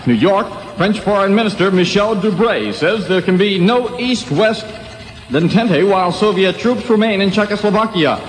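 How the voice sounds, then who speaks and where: A man's voice: high voice, normal speed. A man reading a formal scripted news bulletin as a radio anchor on 1960s AM radio. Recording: 1960s AM radio, roomy long reverb.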